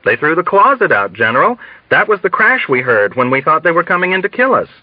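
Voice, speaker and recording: A man's voice: on the high side, very animated, brisk, no room sound, dull audio, pitched above the performer's own voice. A man reading a novel as a deferential male officer, urgent and flustered. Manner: urgent, anxious.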